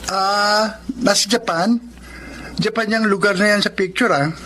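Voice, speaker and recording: Radio drama uncle: notably high male voice, earnest, frank, given to admonishing; faint noise behind. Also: a little slow, pushed and bright. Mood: nosy, teasing. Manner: preachy, earnest. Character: moralizing male elder relative, frank, admonishing, encouraging. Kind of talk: Tagalog radio drama acting.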